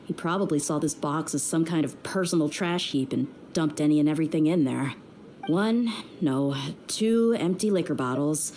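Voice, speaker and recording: A woman's voice: low voice, moderate pace, darkish tone, slight background noise, close to an everyday voice. An actress playing a tough young woman mercenary in a video game, dry and weary with tenderness underneath. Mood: dry, exasperated. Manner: dry, weary, plain-spoken. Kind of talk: video game character dialogue.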